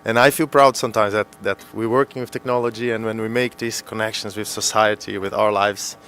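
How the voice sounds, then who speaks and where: Low-voiced man. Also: steady tone, fairly quick, fairly bright tone, clean tone, soft background music. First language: Portuguese. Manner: thoughtful, with frequent fillers and restarts. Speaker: panel speaker. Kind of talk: conference talk.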